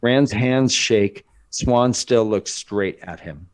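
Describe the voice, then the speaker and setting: A man reads screenplay narration with deliberate drama. His voice is on the low side and he takes it slowly, giving each short stage direction its own space. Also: clear, resonant tone.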